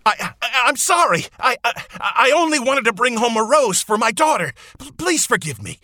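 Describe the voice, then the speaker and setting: Storyteller as gentle merchant father, older man, halting, hugely varied pitch, emotive, storybook. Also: big pitch swings, no room sound, clean studio sound. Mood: frightened, pleading.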